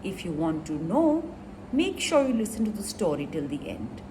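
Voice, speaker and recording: A woman reading a children's picture book aloud in an expressive storyteller's way, talking directly to young listeners. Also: mid-range voice, moderate pace. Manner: animated storyteller addressing young listeners with questions. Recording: roomy, distant mic, clearly noisy background.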